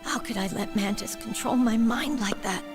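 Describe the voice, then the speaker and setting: A woman's voice: a little high, moderate pace, over music, close to an everyday voice. An actress playing an earnest young female soldier in a video game, asking probing questions. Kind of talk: video game cutscene acting. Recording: loud game score behind.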